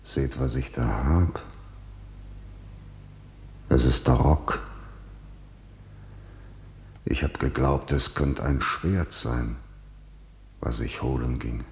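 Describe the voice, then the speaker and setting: A very deep-voiced broken king. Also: fairly even intonation, exaggerated voice. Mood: bitter. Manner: halting, bitter lament. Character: broken grieving king, bitter and defeated. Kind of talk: classical verse drama radio play. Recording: roomy hall reverb.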